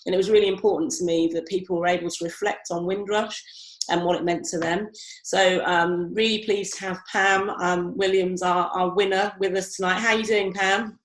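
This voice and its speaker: A woman's voice: lowish voice, fairly even intonation, normal speed, echoey room, poor audio. A woman with family roots in Barbados hosting an online interview, friendly and encouraging, with frequent "um"s. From Britain, family from Barbados. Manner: friendly, encouraging, filler-heavy. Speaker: event host and competition organiser. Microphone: video call.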